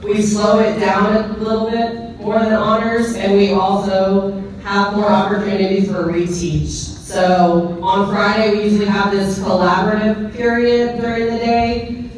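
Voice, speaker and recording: Woman, teacher giving an explanatory talk, flat intonation, very echoey recording. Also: medium pitch. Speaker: middle school science teacher. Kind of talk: informal explanatory presentation. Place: large echoing indoor room with ambient noise. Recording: very echoey hall from far off, faint noise.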